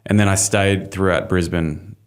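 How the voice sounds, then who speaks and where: A man with an Australian accent hosting a casual interview podcast, easygoing and quick with affirmations. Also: sits low, fairly even intonation. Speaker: podcast host.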